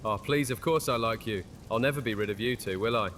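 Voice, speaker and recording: A man's voice: lowish voice, brisk, fairly bright tone, slight background noise, natural voice. An actor playing a sly, teasing, protective male vampire acolyte in an audio drama, with a playful, mocking manner. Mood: teasing, affectionate.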